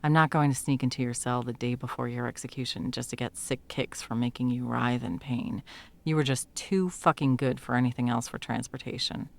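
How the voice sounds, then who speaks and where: A woman playing a sardonic, foul-mouthed sound mage in an intimate fantasy audio roleplay, talking sarcastically and emphatically with a creaky edge. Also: rumbling low, steady tone, a little fast. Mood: grim, regretful. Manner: sarcastic, emphatic, swearing freely. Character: sardonic, foul-mouthed female sound mage, guiltily helping an old friend.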